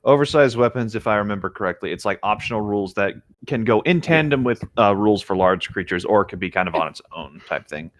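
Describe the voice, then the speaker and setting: Lowish, lively-pitched man, casual. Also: quick pace, few pauses, a little hiss or hum. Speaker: co-host of a commentary read-along show. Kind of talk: casual chat and read-aloud.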